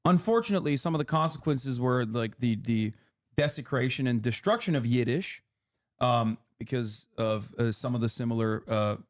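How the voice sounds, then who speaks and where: Man explaining fast in a casual lecture. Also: mid-range voice. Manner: rapid, lecturing, many fillers and restarts. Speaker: streamer explaining politics.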